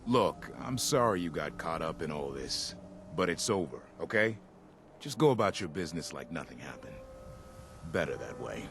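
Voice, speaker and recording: An actor playing a resigned, cautioning town guard in a video game, blunt and colloquial. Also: male voice, rumbling low, big pitch swings, normal speed, a bit bright, a little hiss or hum, full character voice. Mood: resigned, dismissive.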